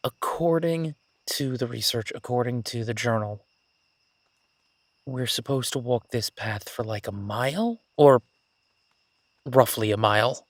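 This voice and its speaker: An excitable young male academic, acted by a man in an immersive audio roleplay. He gushes and stammers apologetically like a bookish explorer new to the field. His mid-pitched voice is recorded close and dry. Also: a little slow, long pauses, dry recording, natural voice. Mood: flustered, explanatory. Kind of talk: immersive roleplay audio drama, one-sided conversation.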